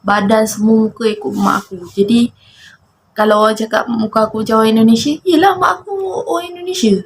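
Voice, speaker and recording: A woman's voice: on the high side, fast talker, a little hiss or hum. A woman in her twenties with a Malaysian Malay accent, chatting casually to her viewers while rambling and getting distracted by her cat. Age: 20s. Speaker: vlogger. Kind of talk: casual chat to camera.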